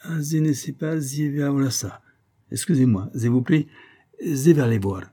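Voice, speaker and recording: Man playing a courtly old shopkeeper, pitched up. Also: moderate pace, mellow, close to an everyday voice. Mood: hesitant, obliging. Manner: obsequious, salesmanlike, ornate politeness.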